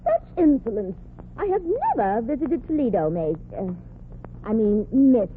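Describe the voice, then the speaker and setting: Haughty fake-posh socialite; high, hugely swooping, tinny vintage broadcast. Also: female voice, huge pitch swings, a little slow, slight background noise. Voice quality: put-on posh accent, haughty. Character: snooty fake-posh young woman, haughty and scheming. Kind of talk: 1940s radio comedy acting. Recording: tinny old radio broadcast.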